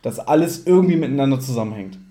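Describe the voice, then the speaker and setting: Mellow man, casual and teasing. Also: mid-range voice, expressive, fast. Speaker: podcast co-host. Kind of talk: casual episode discussion.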